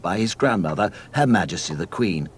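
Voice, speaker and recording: A low male voice narrates a read-along storybook. His delivery is scripted and deadpan yet melodic, with clear noise in the background. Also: brisk.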